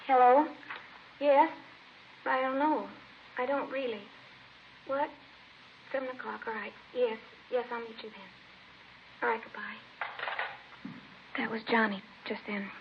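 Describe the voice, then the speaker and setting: High-voiced, creaky, troubled teenage girl. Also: fairly even intonation, slow pace, pauses often. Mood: tense. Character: distressed, reluctant teenage girl witness. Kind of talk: 1950s radio drama acting. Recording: dull, noisy old radio recording.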